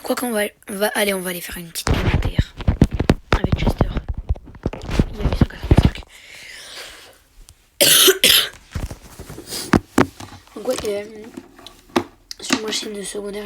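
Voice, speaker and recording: A young man commenting on his own mobile gameplay, with a sore throat and a slight cold, switching between excited slangy cheering and quiet muttering under his breath. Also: high-pitched, takes it slowly, long pauses, heavy background noise. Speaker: mobile game youtuber.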